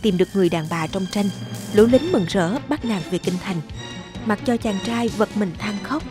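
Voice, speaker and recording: A woman giving scripted storybook narration as the narrator of an animated folktale. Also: mid-range voice, normal speed, music in the background.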